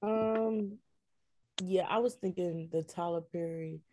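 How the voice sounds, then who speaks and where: A woman's voice: fairly low pitch, takes it slowly, noisy background. A woman guest chatting casually in a laid-back, colloquial way. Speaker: voice cast member, guest. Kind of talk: casual chat.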